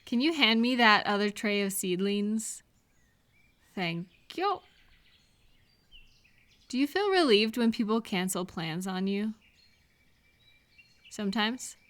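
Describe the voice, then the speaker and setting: Unhurried, caring woman friend played by an actress. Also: mid-range voice, close to an everyday voice. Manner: gentle, unhurried, attentive questioning with pauses for replies. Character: caring, cheerful woman friend helping out in a garden. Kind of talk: scripted one-sided roleplay audio, addressed to the listener.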